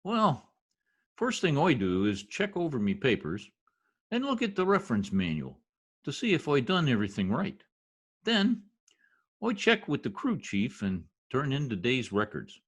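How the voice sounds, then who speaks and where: A man's voice: medium pitch, wildly swooping pitch, measured pace, breathy edge, full character voice. A man playing a blustery, slangy middle-aged husband in a recreated 1950s radio comedy. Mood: folksy, self-important. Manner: folksy slang, dropped grammar, exclaiming.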